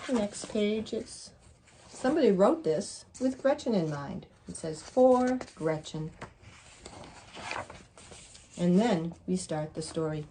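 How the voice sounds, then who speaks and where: A woman reading a picture book aloud to young children in an expressive, deliberate way. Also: fairly low pitch, slight background noise. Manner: deliberate, pausing to ask listeners questions. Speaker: children's story reader.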